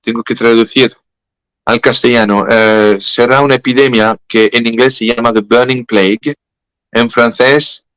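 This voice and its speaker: A man's voice: medium pitch, steady tone, measured pace, fairly bright tone, clear, resonant tone, dry recording, low-quality recording. A man with a French accent, a native French speaker, explaining at length in a conversational talk, hesitant with many fillers and self-corrections. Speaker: guest speaker.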